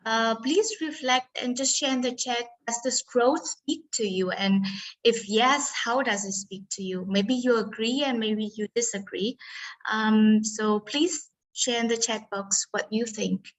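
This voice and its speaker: A woman's voice: on the high side, a little slow, poor audio. A woman from Vietnam presenting an online workshop as facilitator over a video call, hesitant with many ums and uhs.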